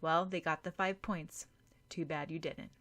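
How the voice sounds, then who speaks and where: A woman's voice: low-pitched, fairly even intonation, measured pace, fairly bright tone. A woman voicing an aloof, dry older brother in an audiobook, cool and understated. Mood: taunting. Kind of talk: audiobook character dialogue.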